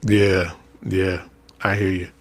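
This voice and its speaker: A man's voice: low-pitched, flat delivery, slow pace, a little hiss or hum. A man hosting a podcast, chatting in an easygoing, agreeable way. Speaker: podcast host. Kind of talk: casual chat.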